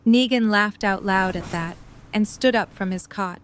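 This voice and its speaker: A woman's voice: medium pitch, unhurried. A woman reading scripted story narration as the narrator of an audio drama.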